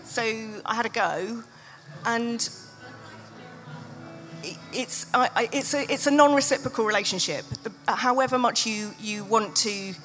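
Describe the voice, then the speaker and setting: A woman's voice: fairly high pitch, unhurried, a bit bright, faint music behind. A woman speaking candidly and reflectively in an unscripted live on-stage interview as an author and podcaster.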